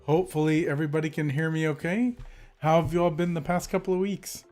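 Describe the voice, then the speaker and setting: A man's voice: a little high, steady tone, quick pace, darkish tone, faint music behind. A man hosting a calligraphy live stream, chatting casually and greeting viewers by name, with a slightly congested voice from a cold. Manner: friendly, greets viewers by name, rambling with fillers.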